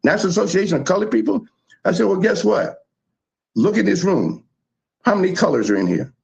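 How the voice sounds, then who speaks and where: Gravelly New man, animated. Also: high-pitched, fairly quick, dull audio. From South Bronx, New York. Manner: animated storytelling, emphatic. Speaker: show host. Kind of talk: casual chat.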